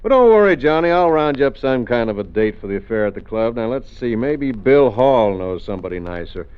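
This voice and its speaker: Teasing easygoing pal, played by a man, lively up-and-down voice, dull old radio sound. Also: mid-range voice, fairly quick, smooth, natural voice. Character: teasing friendly male friend. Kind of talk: 1950s radio drama acting. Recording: muffled old radio recording.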